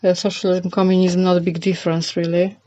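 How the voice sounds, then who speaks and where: Middle-aged female vlogger, Polish accent, lowish voice, rambling and hesitant, slightly dull roomy sound. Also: little pitch movement, fast, mellow. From Poland. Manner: hesitant, rambling delivery with many repeats. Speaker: channel host. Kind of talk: casual opinion monologue.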